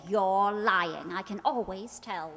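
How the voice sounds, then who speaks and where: A woman acting a sharp-tongued young society bride in a radio play, imperious and sardonic. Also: medium pitch, slow pace. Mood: indignant. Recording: echoey hall, stage radio performance.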